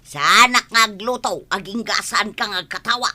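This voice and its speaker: A woman's voice: mid-range voice, moderate pace, pushed and bright. A woman with an Ilocano accent playing a pushy, nagging village wife in a comedy radio drama.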